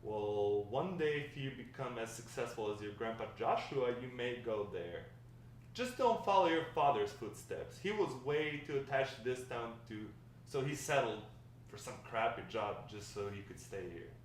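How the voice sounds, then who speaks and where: A man playing a nostalgic, talkative Mexican-American grandfather in a table read, warm and encouraging, rambling with hesitant pauses. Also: mid-range voice, animated, lightly acted. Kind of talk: amateur table read of a script. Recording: echoey room, distant mic, faint noise.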